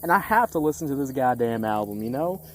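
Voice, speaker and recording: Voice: mid-range voice, expressive, fast talker, a little hiss or hum. A music critic giving a casual, opinionated album review, speaking bluntly and repeating phrases for emphasis. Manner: casual swearing.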